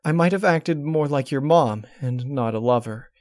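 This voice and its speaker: Guy doing a upset girl pony princess, pitched way up, pleading n controlling, storybook style. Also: moderate pace, a little mellow, no room sound, studio quality, close to an everyday voice. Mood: hurt, critical. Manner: pleading, emotionally strained delivery. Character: distraught, controlling young female pony princess, tearful and critical. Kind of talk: dramatic storybook character reading.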